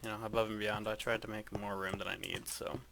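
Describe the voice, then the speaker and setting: A man with a lowish voice talks through a tour of his game build in a flat, even monotone. He hesitates often and fills pauses with ums. The recording carries clear background noise from a home setup. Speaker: gaming video creator. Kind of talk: casual game walkthrough.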